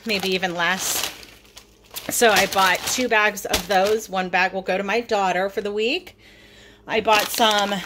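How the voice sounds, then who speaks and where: A chatty middle-aged woman talks through her groceries in a relaxed, upbeat way, often stopping on an "um." Her voice sits at an ordinary pitch for a woman. There is a faint hiss of background noise in her home kitchen. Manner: chatty, upbeat, with many ums. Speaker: vlogger showing a grocery haul. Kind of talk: casual chat over a grocery haul.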